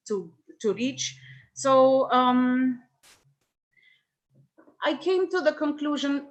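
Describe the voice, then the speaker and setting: Middle-aged woman from Lebanon, workshop speaker, thoughtful and halting delivery, slightly high voice. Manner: reflective, hesitant, with frequent restarts and fillers. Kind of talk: personal talk to a workshop.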